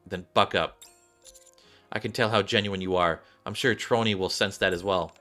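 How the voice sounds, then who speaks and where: Man reading a steady, bright-voiced encouraging confidant. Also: low voice, moderate pace, faint music behind. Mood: reassuring. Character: calm, encouraging confidant, gently firm.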